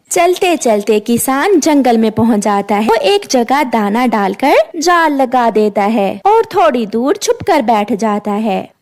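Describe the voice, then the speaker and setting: High-pitched animated woman storyteller. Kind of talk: scripted children's cartoon narration.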